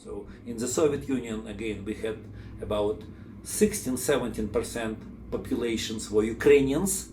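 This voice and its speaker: A man's voice: on the low side, normal speed, dark tone. Man rambling in an echoey room. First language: Russian. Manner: rambling, many fillers and restarts. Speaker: channel host. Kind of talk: informal explainer talk. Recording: echoey room, faint background hum.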